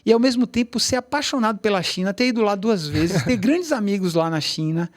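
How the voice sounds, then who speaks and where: A man's voice: high-pitched, expressive, brisk. A middle-aged man, a tai chi teacher, telling long rambling stories in a casual interview, with many restarts and asides. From Brazil, Bahia.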